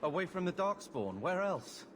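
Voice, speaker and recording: A man's voice: sits high, big pitch swings, moderate pace, a little hiss or hum. A man playing a blunt, irritable young brother in a fantasy video game. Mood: impatient. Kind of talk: video game cutscene acting.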